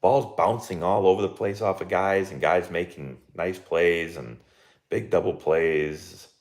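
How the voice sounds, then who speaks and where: A man, hoarse and losing his voice, chatting casually on a live stream about the game, rambling with lots of fillers and restarts. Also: measured pace. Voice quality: very deep and gravelly. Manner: rambling, filler-heavy with many likes and restarts. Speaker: baseball coach streaming live.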